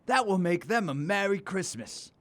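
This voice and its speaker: A man playing a reformed old miser in an audio drama, giddy, warm and exclamatory, often repeating himself. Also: high-pitched, lively intonation, normal speed. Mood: giddy, eager. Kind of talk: theatrical audio drama acting. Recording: music playing behind the voice.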